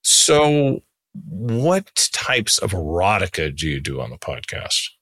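Guy in his 60s, deep voice, casual podcast host, kinda rambly, dry sound. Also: very animated, slow pace, a bit bright, husky, dry recording. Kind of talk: casual interview chat.

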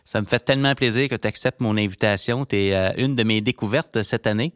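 A man's voice: lowish voice, a little fast, dry recording, clean studio sound. A man hosting an interview podcast, asking questions in a friendly, informal way with fillers. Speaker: podcast host. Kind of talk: scripted intro, then casual interview.

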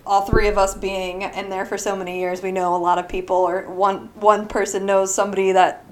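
A woman's voice: medium pitch, flat delivery, a little fast, low-quality recording. Brief: thirties woman from Florida, small business founder, friendly guest who laughs often. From Southwest Florida. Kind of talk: casual interview answers.

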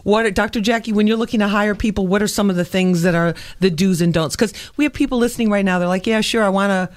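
A woman's voice: medium pitch, quick pace, dry recording. A woman hosting a radio show, putting questions to guests in a conversational interviewing style.

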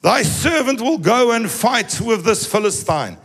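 A man preaching a sermon in a sing-song manner that rises into shouts. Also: extremely high pitch, very animated, unhurried, husky, faint music behind.

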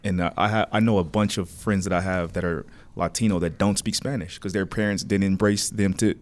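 A man's voice: low voice, fairly even intonation, a little fast. A man in a casual group chat, thoughtful, raising questions and building his argument.

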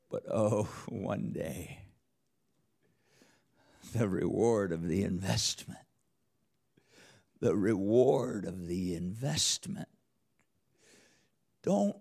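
A man's voice: fairly low pitch, vocal fry. A man preaching a sermon as a church elder, speaking emphatically with repeated phrases and rhetorical questions. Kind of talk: sermon with scripture quotation.

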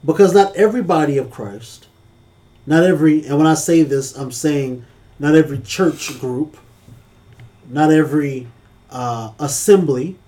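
A man's voice: a little high, measured pace, mellow. A man co-hosting a casual church-talk podcast, speaking earnestly with a preacherly cadence.

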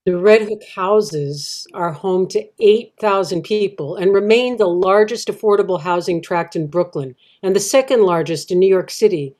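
A woman's voice: mid-range voice, moderate pace, hardly any pauses, darkish tone, close to an everyday voice. A woman reading as a local resident, giving facts in a matter-of-fact, informative way. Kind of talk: readers theatre monologue.

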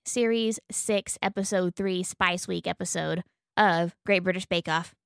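A woman's voice: fairly low pitch, unhurried, vocal fry, smooth, no echo, clean studio sound. A woman co-hosting a podcast, bantering in a playful, jokey way. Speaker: podcast co-host. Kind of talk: casual chat.

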